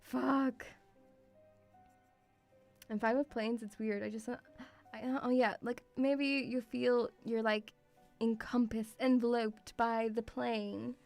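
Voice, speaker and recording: High-voiced woman, mixed European accent. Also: slow, gravelly, pauses often, soft background music. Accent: mixed European with Scottish influence. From Lithuanian-Russian background, partly grew up in Scotland. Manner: excitable, rambling, sweary. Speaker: livestream host, VTuber. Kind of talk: casual livestream chat.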